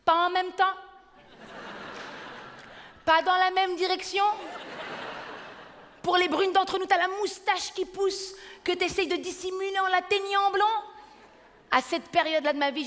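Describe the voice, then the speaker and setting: A woman in her thirties from Belgium doing stand-up comedy on a theater stage, punchy and energetic, acting out family members. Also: extremely high pitch, moderate pace, pauses often, in a large hall, slight background noise.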